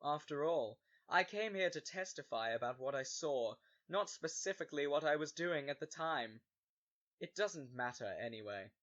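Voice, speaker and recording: A man playing a cocky, evasive, condescending witness in an amateur audio drama, with loud music behind him. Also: on the high side, expressive, normal speed, highs cut off. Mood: defensive.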